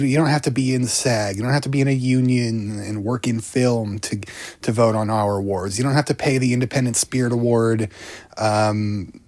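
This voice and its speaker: A man co-hosting a casual film podcast, with dry jokes and lines that trail off quietly. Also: medium pitch, gravelly, no room sound. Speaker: podcast co-host.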